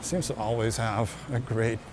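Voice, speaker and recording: A man's voice: medium pitch, steady tone, normal speed. A man narrating a casual travel vlog as he strolls along a beach at sunset, talking in an easygoing way and repeating words as he goes. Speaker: travel vlogger. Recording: outdoor beach recording with surf noise. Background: waves and surf.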